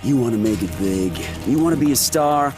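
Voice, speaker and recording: An actor playing a boastful, egomaniacal wasteland tycoon in a video game, pompous and showy. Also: male voice, medium pitch, expressive, brisk, over music, natural voice. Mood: boastful, rousing. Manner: pompous, grandiose, showy announcing. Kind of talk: theatrical video game character acting.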